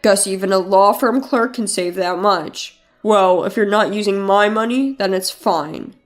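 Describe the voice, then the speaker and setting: A woman's voice: medium pitch, a little slow, pitched below the performer's own voice, close to an everyday voice. A woman voicing a selfish, contemptuous husband in a story, with a curt, dismissive manner. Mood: casual, dismissive. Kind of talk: dramatized story narration.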